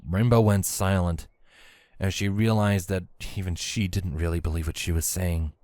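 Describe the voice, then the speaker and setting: Low-pitched man narrating a story. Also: steady tone, moderate pace, darkish tone, dry recording, clean studio sound. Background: background music.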